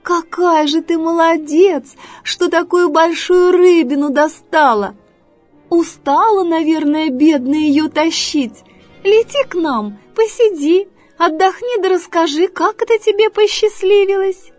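Sly, honey-voiced high-pitched fox. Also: female voice, dry recording, faint music behind. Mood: sweetly wheedling. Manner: cooing, wheedling, drawn-out flattery. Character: sly, sweet-talking female fox, quick to turn shrill.

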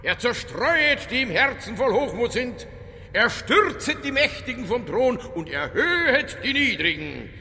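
Stubborn bavarian cop, high bright voice, swings all over, radio crime play. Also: male voice, big pitch swings, measured pace, a little hiss or hum, heavily acted. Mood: defiant, ranting. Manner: earthy, argumentative, quoting scripture. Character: stubborn, provocative male police detective, fat and irreverent. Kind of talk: radio crime drama acting.

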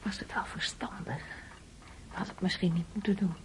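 A woman playing an anxious, hospitable wife and mother in a Dutch radio play, expressive and coaxing. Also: fairly low pitch, a little fast, in a whisper, natural voice. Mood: anxious, hushed.

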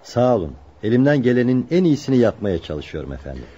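A loyal carpenter in a radio play, with a dark, husky voice. He is serious and measured, and the recording sounds muffled. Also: mid-range voice, very animated. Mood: polite. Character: loyal, earnest carpenter protecting his childhood friend. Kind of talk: radio drama acting.

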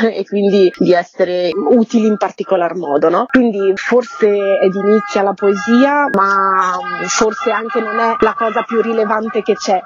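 Husky woman, reflective radio guest. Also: mid-range voice, darkish tone, dull audio. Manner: often seeks agreement with short tag questions. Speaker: theatre-maker guest. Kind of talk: reflective conversational interview.

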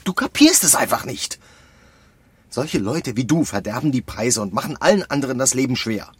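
Raue Männerstimme, vorwurfsvoller Kollege. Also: male voice, medium pitch, very animated, moderate pace, no room sound. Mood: angry, accusing. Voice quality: husky, rough. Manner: reproachful, blunt. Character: gruff exasperated male colleague scolding a young postwoman. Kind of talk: radio drama acting.

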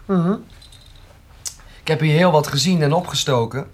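A man's voice: a little high, lightly acted. Young man playing a shy keen lad, hesitant delivery, youthful, radio play dialogue. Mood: bashful, keen. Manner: halting, bashful. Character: shy but keen student dreaming of farming. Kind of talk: Dutch radio drama dialogue.

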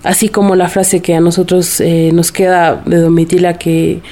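A woman's voice: on the low side, little pitch movement, normal speed, warm tone. A woman giving a spontaneous, explanatory interview answer as a researcher, feeling for her words. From Bolivia.

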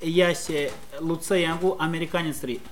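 A man's voice: on the high side, steady tone, slight background noise. Man interpreting a sermon, hesitant phrasing. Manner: quick, hesitant interpreting with fillers.

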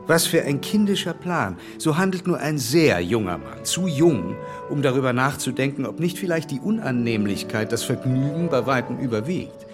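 Actor as a skeptical, upright period gentleman. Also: medium pitch, very animated, normal speed, hardly any pauses, over music, natural voice. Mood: skeptical, critical. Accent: standard German. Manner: skeptical, muttering interjections, moralizing. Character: earnest, upright gentleman, critical, dryly commenting on a letter. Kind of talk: period radio drama acting.

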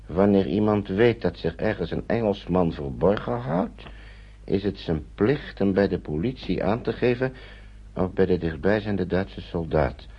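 An actor playing a cautious, hesitant English gentleman in hiding, husky and gravelly, in a radio drama. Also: low voice, animated, a little slow, full character voice. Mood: reading gravely. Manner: hesitant, mumbled repetitions. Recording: dull lo-fi radio recording.

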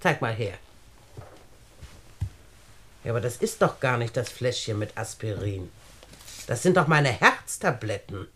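An actress playing a wealthy, condescending older aunt in a German radio play, speaking standard German in a deliberate, haughty manner. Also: female voice, very deep voice, animated, a bit bright, a little hiss or hum. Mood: fussy, brisk. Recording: studio radio drama recording.

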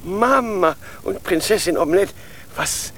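Creaky, high-pitched grumbling king. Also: animated, a little slow, noisy background. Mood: indignant, hesitant. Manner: dignified, grumbling. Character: grumpy homeless king and father. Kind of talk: children's radio play acting.

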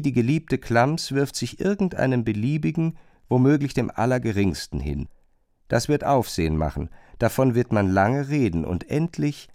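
A man narrating a literary radio drama in a measured, pointed, questioning manner. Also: mid-range voice, a little mellow, dry recording, clean studio sound.

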